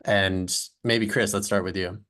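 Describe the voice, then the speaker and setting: A man with a very deep voice moderates a webinar panel over a video call. He asks friendly, quick questions, full of small fillers. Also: steady tone, fairly bright tone.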